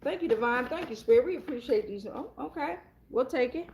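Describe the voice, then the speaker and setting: High-voiced woman giving a blunt tarot reading. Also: expressive, brisk, darkish tone, a little hiss or hum. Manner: blunt, profane, slangy. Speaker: tarot reader hosting a reading. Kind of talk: casual, improvised spiritual tarot reading.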